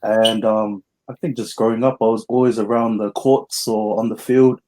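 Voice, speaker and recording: A man's voice: lowish voice, flat delivery, normal speed, noisy background. A young man from New Zealand with a New Zealand accent, telling his story as an interview guest in an easygoing, plain way.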